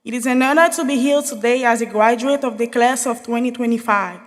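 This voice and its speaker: A woman's voice: fairly high pitch, brisk. A young woman from Haiti, giving an earnest, spirited graduation speech as a student speaker in a large hall. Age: young adult.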